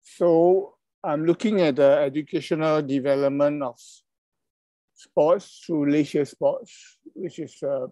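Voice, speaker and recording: A middle-aged man from Malaysia giving an online conference talk over a video call, halting and hesitant, with many fillers and apologies. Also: fairly high pitch, dark tone, no room sound, dull audio. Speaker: conference speaker. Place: indoors at a computer.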